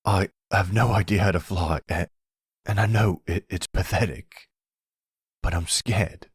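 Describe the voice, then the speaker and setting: A man's voice: low-pitched, measured pace, husky, no room sound, clean studio sound, heavily acted. A man playing a panicky, dim young kidnapper in an audio roleplay, stammering and talking in bro slang. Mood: scared, pleading.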